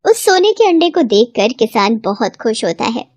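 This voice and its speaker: A woman's voice: a little high, sing-song, moderate pace, darkish tone, no room sound. A woman narrator reading a scripted moral tale for children in a storytelling style.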